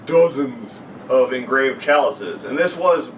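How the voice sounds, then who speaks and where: A man's voice: medium pitch, animated, a little slow. Young man bantering, distant noisy mic. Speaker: podcast co-host. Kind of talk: casual banter and creepypasta reading.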